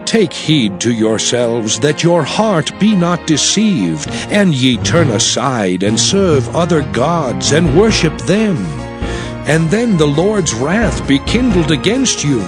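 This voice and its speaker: A man playing a solemn prophet exhorting his people in a measured, declamatory scripture drama. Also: fairly high pitch, big pitch swings. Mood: solemn exhortation. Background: music.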